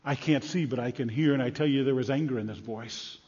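A man playing an excitable blind beggar of ancient Jerusalem in a dramatic first-person monologue, halting and repeating himself, swinging between hushed and loud. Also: mid-range voice, husky, natural voice. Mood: hushed, awed. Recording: echoey large hall, slightly muffled.

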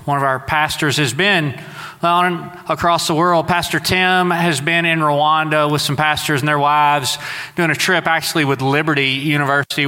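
A man from Southeast Texas in his forties preaches to his congregation, and he talks in a folksy, conversational way with casual asides. His voice sits a little high and sounds bright. It rings out with the long reverb of a church sanctuary. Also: smooth. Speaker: pastor. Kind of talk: sermon.

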